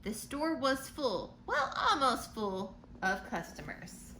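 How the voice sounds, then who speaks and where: A woman's voice: fairly high pitch, very animated, slow pace, sharp tone, full character voice. A woman reading a storybook in the part of a cheerful, eager young girl writing letters home. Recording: noticeable background noise.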